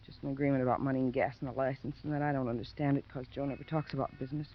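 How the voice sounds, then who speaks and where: An actress playing a worried, defensive working-class wife who speaks bluntly and plainly, in a 1950s radio crime drama. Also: female voice, rumbling low, steady tone, very fast, slight background noise. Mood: defensive, anxious. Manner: blunt, uneducated plain speech. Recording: muffled old radio broadcast recording.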